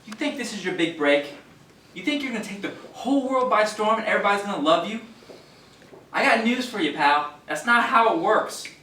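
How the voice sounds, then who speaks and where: A sharp, forceful actor's take on a bullying show veteran, sneering and confrontational. His fairly high male voice carries from far off in an echoey stage recording. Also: animated, brisk. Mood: scolding, sneering. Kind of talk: stage play acting. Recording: distant, echoey stage recording, faint noise.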